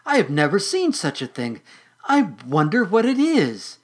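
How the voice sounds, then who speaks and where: A storyteller voicing a curious young girl caterpillar who speaks in excited, high-pitched questions. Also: a little slow, slightly rough, full character voice. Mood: eager.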